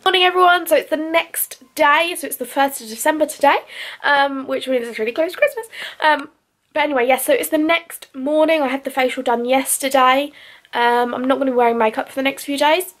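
A woman's voice: high voice, brisk. A woman in her twenties with a British accent, chatting casually in a beauty vlog from her bedroom, filming at arm's length with lots of ums. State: losing her voice, under the weather. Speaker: beauty vlogger. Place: bedroom at home, indoors. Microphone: handheld camera or phone at arm's length.

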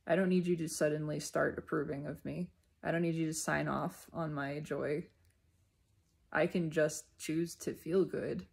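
A woman giving an unscripted, reflective spiritual monologue in deliberate, halting phrases with emphatic repetition. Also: deep voice, steady tone, vocal fry, darkish tone. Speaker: speaker sharing personal spiritual reflections.

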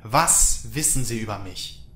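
A man's voice: on the low side, expressive, measured pace, pushed and bright. A man playing a defiant former agent in a radio drama. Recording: echoey room, faint noise.

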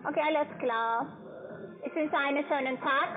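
A woman with a very high voice and a foreign accent speaks over a tinny phone line from a busy, chattering room. She is a halting, repetitive non-native call-centre agent. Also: crowd noise. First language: not German. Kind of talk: telephone customer call.

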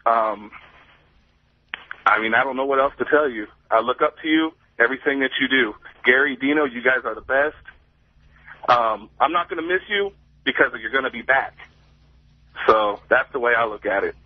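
An earnest, admiring male caller from Ohio with a middle-pitched voice. He sounds thin and tinny, as if on a phone line, and the sound is dry. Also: moderate pace, pauses often, no room sound. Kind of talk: call-in radio talk. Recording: thin telephone line on air.

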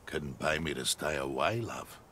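An actor playing a cocky, sleazy mercenary crime boss in a video game, with a rough Australian accent and a taunting manner. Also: male voice, very deep voice, lively intonation, moderate pace, slight background noise, heavily acted. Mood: sardonic. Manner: taunting, sardonic. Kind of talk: video game character banter. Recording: game audio over loud ambience and music.

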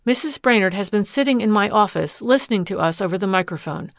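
A woman reading as a composed, sharp investigator, explaining matters in a brisk and even way. The voice is dry and close. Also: medium pitch, gravelly, no room sound.

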